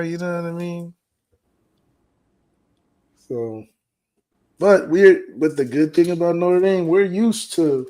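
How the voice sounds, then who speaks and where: Male sports podcast co-host, slightly higher voice, easygoing, thinks out loud with restarts. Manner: relaxed, conversational, repeats words as he thinks. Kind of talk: casual sports podcast chat.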